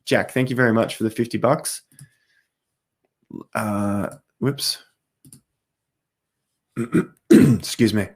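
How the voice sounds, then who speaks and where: A man hosting a casual crypto live stream, walking through charts while hoarse from losing his voice, clearing his throat often. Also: fairly low pitch, takes it slowly, long pauses. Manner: throat clearing, rambling explanations.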